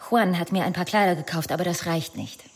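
A woman's voice: fairly low pitch, brisk, noisy background, natural voice. A woman playing a vain glamorous young woman, faint ambience. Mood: breezy, chatty. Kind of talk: radio drama dialogue.

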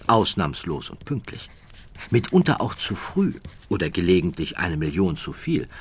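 A man with a standard German accent narrating a scripted documentary story in measured, deliberate phrases, with dramatic emphasis and a wry undertone. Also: sits low, a bit bright, slightly rough.

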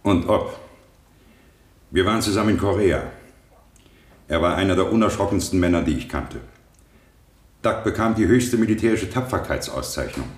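Man acting very deep-voiced, assured stockbroker witness. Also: moderate pace, long pauses, close to an everyday voice. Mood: firm, loyal. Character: firm, loyal male stockbroker witness, victim's friend. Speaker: actor. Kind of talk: 1970 German radio drama acting. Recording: roomy vintage radio studio sound.

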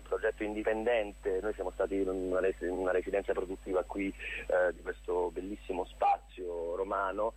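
A man giving a casual, unscripted interview as a theatre director, halting and often repeating his words. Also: low-pitched, slightly rough, low-quality recording. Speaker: theatre director, radio guest.

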